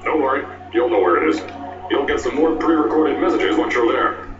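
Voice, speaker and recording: A man playing a booming, boastful company boss. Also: fairly quick, recorded from a distance, muffled recording, background noise, lightly acted. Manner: boastful, booming salesman pitch. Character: brash middle-aged male company founder, recorded greeting. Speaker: game character in a fan-made mod. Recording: roomy game audio with loud music.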